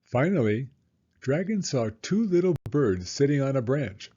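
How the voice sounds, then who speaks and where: Guy reading a picture book to little kids, unhurried n very expressive, pitch goes up and down a lot. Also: slightly rough, muffled recording. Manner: deliberate, animated storytelling. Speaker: children's storybook reader. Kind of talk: expressive read-aloud story narration for young children.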